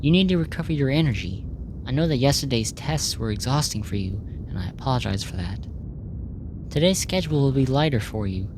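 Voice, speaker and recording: Very low-voiced woman as polite alien researcher. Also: lively intonation, fairly quick, background noise. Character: polite, clinical alien researcher fascinated by humans. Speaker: audio roleplay voice actor. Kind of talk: ASMR sci-fi roleplay, in character.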